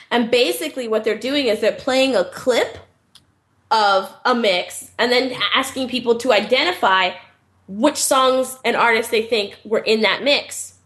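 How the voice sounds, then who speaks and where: A woman co-hosting a podcast, chatting casually and excitedly, swearing freely. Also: on the high side. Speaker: podcast co-host.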